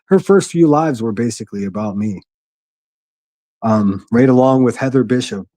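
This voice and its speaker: A man with a gruff voice hosting a solo livestream from his quiet living room, reading chat and speaking bluntly with frequent restarts. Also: medium pitch, expressive, normal speed, no room sound. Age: adult, a military veteran. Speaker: livestream host.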